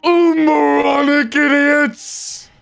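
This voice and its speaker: A woman voicing an evil dark wizard who is angry and commanding, speaking archaically in a grandiose, drawn-out, menacing way. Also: high-pitched, little pitch movement, vocal fry, faint music behind, heavily acted. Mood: contemptuous fury.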